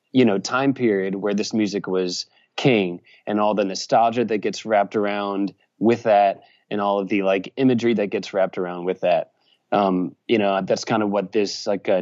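Brief: man from Virginia, musician, rambling answers, lowish voice, lo-fi sound. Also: steady tone, moderate pace, darkish tone. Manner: rambling, many fillers and restarts. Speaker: musician and journalist. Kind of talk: casual interview answers.

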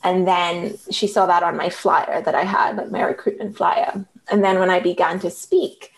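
A woman's voice: lowish voice, fairly even intonation, moderate pace, vocal fry, poor audio. A woman from Trinidad with a Trinidadian accent, a graduate researcher telling her fieldwork story in a reflective, articulate way. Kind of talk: conversational interview storytelling.

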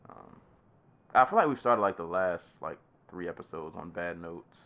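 A man's voice: deep voice, animated, moderate pace, a bit bright. A man hosting a casual podcast while congested with a summer cold, laughing and coughing often. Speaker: podcast host.

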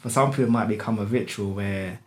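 A man's voice: on the low side, fast. A young man, talking candidly in a casual podcast chat, swearing and using street slang.

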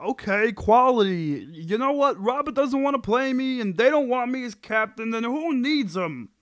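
A man reads the part of a petulant sitcom husband who justifies himself. The voice is high for a man and closely recorded, with a dry sound and an amateur table-read delivery. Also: animated, normal speed, no echo. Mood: petulant, flippant.

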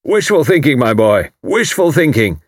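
A man voicing a hearty, blustering older British commander with an upper-class English accent and a loud, barking, clipped delivery in an audiobook. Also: medium pitch, sing-song, moderate pace, breathy edge, no echo, studio quality, voice pushed up. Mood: bluff, emphatic. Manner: bluff, emphatic, clipped phrasing.

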